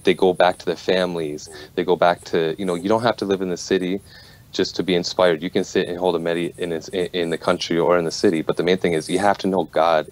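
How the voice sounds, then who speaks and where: A man, a musician on a talk panel, speaking emphatically and preachily in a casual discussion. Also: sits low.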